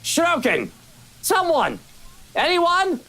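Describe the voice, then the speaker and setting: A woman's voice: very high-pitched, wildly swooping pitch, drawn-out words, pushed and bright, voice pushed up, heavily acted. A woman voicing a haughty, irritable rich man from a visual novel, imperious and sarcastic. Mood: imperious calling out. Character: haughty, irritable wealthy man, employer of servants.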